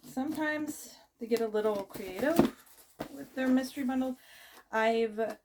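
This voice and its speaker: A woman's voice: on the high side, background noise. A young woman with a cold chatting casually as she unboxes items, rambling with many fillers and restarts. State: has a cold, losing her voice. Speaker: vlogger.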